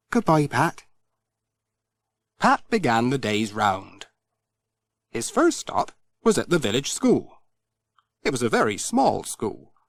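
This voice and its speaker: A man narrating a scripted children's story in an expressive storytelling manner. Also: medium pitch, dry recording. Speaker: audiobook narrator.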